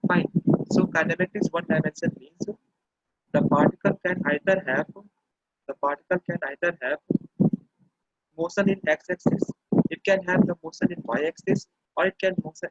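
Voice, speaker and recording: Gravelly high-voiced man teaching online physics. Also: moderate pace, long pauses, no room sound. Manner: repetitive, restarts phrases, checks in with "okay". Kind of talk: online class lecture. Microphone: computer or phone mic over internet call. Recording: dry, muffled lo-fi online class audio.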